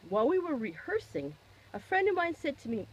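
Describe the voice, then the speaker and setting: A woman giving a personal testimonial in an expressive, emphatic way, posing questions and answering them herself. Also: high-pitched, brisk.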